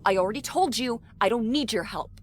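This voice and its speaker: Woman as tough female cop, audio drama, high voice, exasperated, blunt, music behind. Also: animated, brisk, lightly acted. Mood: irritated. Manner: brusque, exasperated. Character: tough, irritable female police captain, impatient and blunt.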